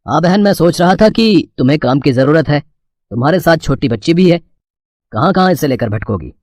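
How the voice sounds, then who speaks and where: A man's voice: a little high, animated, fairly quick, a little mellow, no room sound, close to an everyday voice. Man acting a kindly shop owner, close dry sound. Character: fair, kindly male sweet-shop owner.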